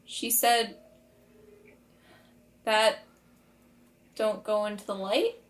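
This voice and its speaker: A woman's voice: mid-range voice, little pitch movement, drawn-out words. A woman speaking casually as a player in a tabletop game, improvising her character's words and actions. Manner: informal, conversational.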